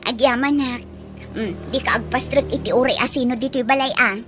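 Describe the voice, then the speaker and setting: A woman's voice: fairly high pitch, brisk, over music, close to an everyday voice. A woman playing a jealous, sweet-talking Ilocano wife in a comedic radio drama, coaxing and affectionate. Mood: possessive, affectionate.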